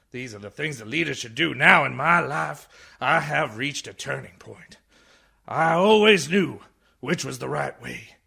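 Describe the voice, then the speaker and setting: A raspy, ranting old man in a radio comedy, creaky and piercingly sharp. His delivery swings wildly from muttering to shouted outrage, tired yet indignant. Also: on the high side, exaggerated voice. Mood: earnest, impassioned. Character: blind, tired old man, blustering indignant orator defending a boy. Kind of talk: radio comedy play parodying a film speech.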